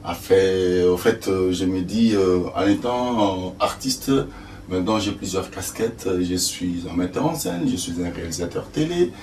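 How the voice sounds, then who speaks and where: An older man from Congo, an actor and stage director answering interview questions slowly with many fillers. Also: low-pitched, a little mellow. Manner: slow, hesitant, many fillers. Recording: echoey room, distant mic, faint noise.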